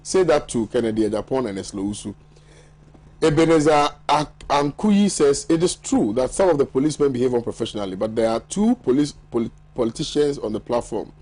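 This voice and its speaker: Husky Ghanaian man hosting a show. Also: mid-range voice, fairly quick. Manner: lively, laughs often, banters with panel. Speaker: morning show host. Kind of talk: newspaper review, reading viewer messages. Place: TV studio.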